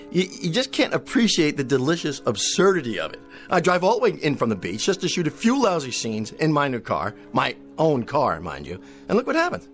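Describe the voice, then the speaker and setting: An actor playing a vain, pompous TV cowboy star who whines and rants theatrically. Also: male voice, fairly high pitch. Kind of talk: radio drama acting.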